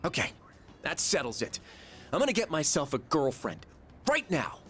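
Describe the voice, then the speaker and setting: A goofy teenage boy in a video game, highish in pitch and very animated. He talks in slangy, melodramatic bursts over music. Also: normal speed. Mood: excited, scheming. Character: excitable goofy high school boy, girl-crazy slacker.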